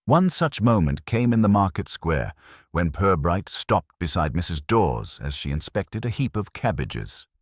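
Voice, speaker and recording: Mystery narrator, male: exceptionally low pitch with animated intonation, measured and theatrical scripted storytelling. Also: no room sound, studio quality.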